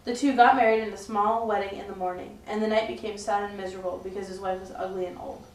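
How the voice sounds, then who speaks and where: A woman's voice: medium pitch, steady tone, fast, distant mic. A young woman narrates a scripted tale in a mid-range voice. She is recorded in an echoey room, a little away from the microphone, with faint noise around her. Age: young adult. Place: indoor room, puppet show recording.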